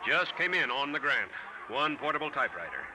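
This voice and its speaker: An actor playing an obliging baggage clerk in a 1940s radio drama. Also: male voice, medium pitch, big pitch swings, brisk, natural voice. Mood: helpful. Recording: noisy old radio recording.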